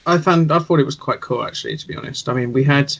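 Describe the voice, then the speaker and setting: A man's voice: medium pitch, quick pace, gravelly, a bit bright. A man chatting casually in a blunt, rambling way over dull online call audio. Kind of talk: casual chat.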